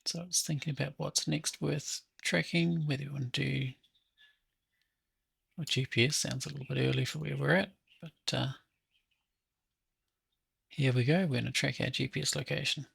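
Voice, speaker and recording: A man with a gravelly, husky voice talking casually to himself as he hosts a think-aloud software tutorial. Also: mid-range voice, fairly even intonation, moderate pace, long pauses, dry recording, clean studio sound. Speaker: app developer hosting a coding session.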